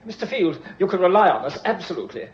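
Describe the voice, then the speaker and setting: High-voiced, flustered gallery manager. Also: male voice, very animated, quick pace. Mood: smoothly apologetic. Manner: effusively apologetic, hesitant. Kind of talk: radio drama acting. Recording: dull, echoey, noisy old radio recording.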